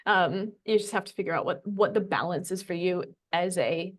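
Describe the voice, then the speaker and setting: A woman's voice: fairly low pitch, moderate pace. A woman talking casually as a podcast guest, informal and self-deprecating, phrasing things loosely. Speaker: podcast guest, fitness coach. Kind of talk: casual conversational talk.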